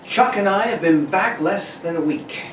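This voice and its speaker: A man's voice: sits high, expressive, normal speed, fairly bright tone. A man playing a courteous, formal, heroic captain in an amateur read of an old radio serial. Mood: courteous, earnest. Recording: roomy, distant mic, faint noise.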